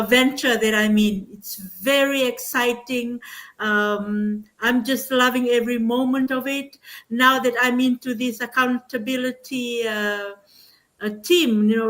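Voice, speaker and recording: An older woman from Fiji speaks informally in an online group session. Her voice is fairly high and she sounds enthusiastic, though her phrasing often halts as she gives her testimonial. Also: fairly bright tone. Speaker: panel speaker, business mentor.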